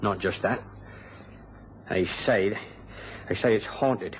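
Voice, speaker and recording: A plain-spoken countryman with a fairly low voice. He is guarded and halting, repeating his words. The old radio drama recording is dull, with faint noise. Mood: uneasy, confiding. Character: plain-spoken countryman, head marker on a shooting estate. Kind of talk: mid-century British radio drama acting.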